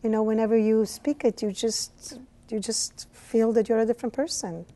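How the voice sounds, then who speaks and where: A woman's voice: fairly high pitch, fairly even intonation, normal speed, mellow. A woman from Italy with an Italian accent, a language teacher chatting as an interview guest, with mm-hmms as she tells stories. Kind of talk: casual interview conversation. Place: cable TV studio.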